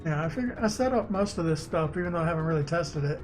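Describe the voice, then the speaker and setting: Man, slightly high voice, casual self-directed muttering, halting delivery, game developer livestream, clear background music. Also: lively intonation. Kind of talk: casual thinking aloud while coding. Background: music, keyboard typing.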